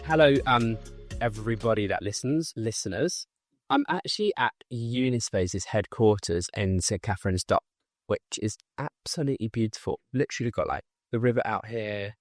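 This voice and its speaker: A man's voice: on the low side, expressive, moderate pace, dry recording, soft background music. Chatty South London man, dry sound. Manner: informal, chatty. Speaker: podcast host. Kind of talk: casual chat.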